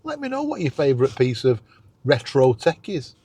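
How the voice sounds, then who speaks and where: Animated middle-aged man. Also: medium pitch, moderate pace, breathy edge. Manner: self-deprecating, enthusiastic. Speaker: YouTube host. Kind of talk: casual chatty vlog.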